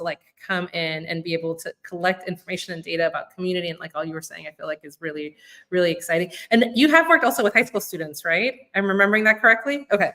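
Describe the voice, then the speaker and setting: Woman youth-program leader, bright, tense tone, easygoing chatty delivery full of fillers. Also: on the low side. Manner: casual, many "like" fillers, thinks aloud. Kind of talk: conversational panel discussion.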